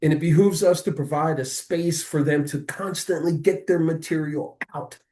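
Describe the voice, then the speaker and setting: An American man hosting a local arts show, introducing it conversationally in an earnest, wordy and deliberate way. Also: a little high, steady tone, warm tone.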